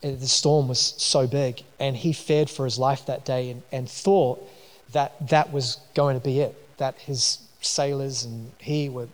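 A man's voice: medium pitch, fairly even intonation, a little slow. A man from New Zealand with a New Zealand accent, preaching a sermon in a conversational way, with many fillers and restarts. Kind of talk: sermon with personal story. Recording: long hall reverb.

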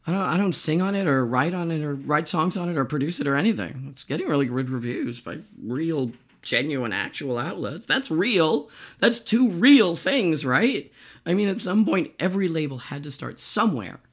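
A woman playing a middle-aged rock singer in a radio play, insecure and wry, with a hoarse voice and a halting, self-deprecating way of talking. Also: sits low, animated. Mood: defensive, rambling. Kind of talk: naturalistic comedic radio play acting.

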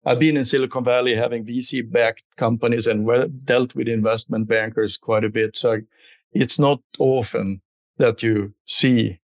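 A man's voice: mid-range voice, flat delivery, moderate pace, no echo, muffled recording. A middle-aged or older man with a Swedish accent, answering conversationally as an executive interview guest.

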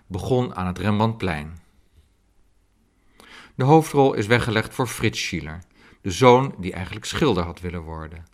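A man with a lowish voice narrates a scripted podcast introduction as host. Music plays alongside his voice.

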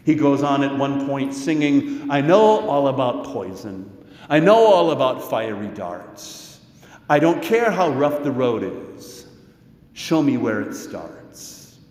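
A middle-aged or older American man preaching as a pastor, measured and deliberate, with pauses and rhetorical questions. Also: mid-range voice, big pitch swings, a little mellow. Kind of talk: sermon. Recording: echoey church hall with long reverb.

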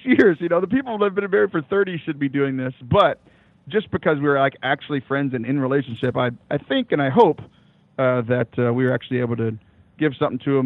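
A young man with a loud voice, talking casually as an interview guest, animated, with many fillers and restarts, laughing often. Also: medium pitch, no room sound. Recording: dry, phone-like call line.

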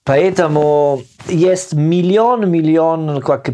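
A man from southern Italy, a native Italian speaker with an Italian accent, talking casually in a learned language with many hesitant pauses. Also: fairly high pitch, warm tone. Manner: hesitant, halting non-native phrasing. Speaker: guest. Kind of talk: casual conversation.

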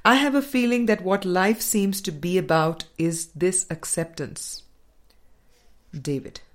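A woman reading a spiritual dialogue book aloud as an audiobook, in a measured, deliberate manner with pauses. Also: low voice. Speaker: audiobook reader.

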